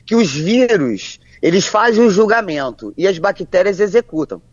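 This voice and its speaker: A woman's voice: medium pitch, big pitch swings, no room sound. Woman physician guest; patient, plain explainer; dry, muffled sound. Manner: measured, explains in plain terms with frequent restarts. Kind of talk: explanatory interview answers.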